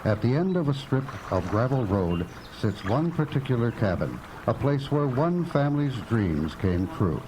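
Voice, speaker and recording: A man's voice: mid-range voice, sing-song, moderate pace, few pauses, background noise, natural voice. An actor playing a suspenseful storyteller, a man narrating a scripted radio mystery. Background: music.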